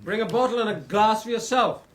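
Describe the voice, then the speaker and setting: An actor playing an earnest young lord with a posh upper-class English accent, polite and questioning, in a radio drama. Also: male voice, sits high, a little fast, a bit bright. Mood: guarded, polite.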